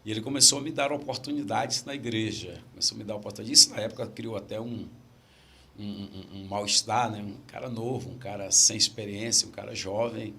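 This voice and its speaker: A man's voice: on the low side, fairly quick, gravelly, pushed and bright. A middle-aged man from Brazil, a pastor with a stammer, telling stories in casual podcast chat and repeating his key phrases.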